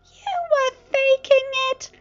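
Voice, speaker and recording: A woman voicing a young male dragon, high and excitable. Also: slow pace. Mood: accusing. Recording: slightly muffled with faint music.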